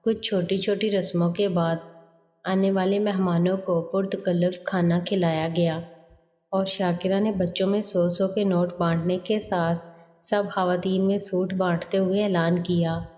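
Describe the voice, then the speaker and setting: A woman's voice: fairly low pitch, level tone, measured pace, dark tone. A woman reading a scripted first-person story as a narrator. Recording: long hall reverb.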